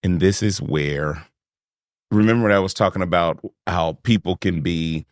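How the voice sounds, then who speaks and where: A man's voice: low-pitched, normal speed, no room sound, studio quality. A man hosting a podcast, chatting casually and animatedly, laughing often and acting out quotes. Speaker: podcast host. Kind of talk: casual chat.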